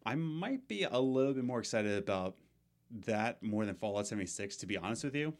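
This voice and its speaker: Young male podcast co-host, slightly low voice, loose rambling delivery with fillers. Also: lively intonation, fairly quick. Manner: rambling, many fillers and restarts. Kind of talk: casual gaming podcast chat.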